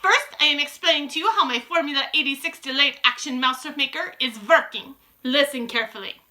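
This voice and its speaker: A woman's voice: sits high, a little fast, bright, forceful tone, pitched above the performer's own voice. A woman voicing an imperious, cruel grand witch in a storybook, commanding her followers theatrically with stilted grammar. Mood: stern, imperious. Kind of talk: dramatised storybook reading.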